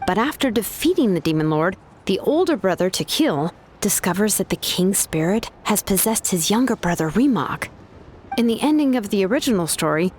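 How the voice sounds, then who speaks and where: A woman's voice: mid-range voice, darkish tone, a little hiss or hum, natural voice. An actress voicing a laid-back, practical young woman in an anime video game, explaining things casually with small hesitations.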